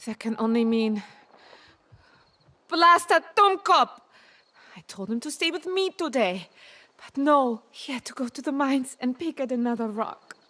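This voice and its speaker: A woman playing a grieving, fondly exasperated townswoman in a video game, with a deliberate, emotive delivery. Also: high voice, expressive, bright, forceful tone, exaggerated voice. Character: grieving woman, fondly exasperated about her lost man. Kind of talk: video game character acting.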